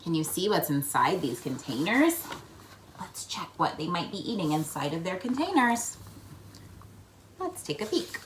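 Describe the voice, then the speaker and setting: Woman, children's read-aloud host, playful and very animated in pitch, with noticeable background noise. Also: moderate pace, pauses often. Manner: playful, expressive, prompting the listener with questions. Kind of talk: picture book read-aloud with questions to young listeners.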